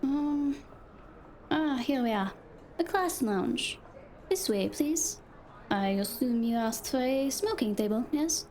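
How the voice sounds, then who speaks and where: A woman's voice: sits high, lively intonation, slow, a little mellow, a little hiss or hum. A woman playing a polite, formal hostess at an upscale lounge in a noir audio drama. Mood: courteous. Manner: courteous, formal service tone. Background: music.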